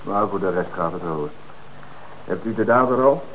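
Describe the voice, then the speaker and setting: An actor playing a polite, obliging bank porter in an old radio play, on a hissy old radio recording. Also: male voice, fairly low pitch, normal speed, a bit bright, lightly acted, poor audio. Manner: polite, deferential. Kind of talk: 1960s radio play acting.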